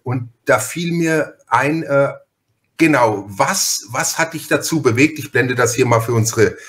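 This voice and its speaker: A man's voice: mid-range voice, a little slow, a bit bright. A man hosting a podcast interview in a casual, chatty and wordy way, often interrupting himself and repeating words.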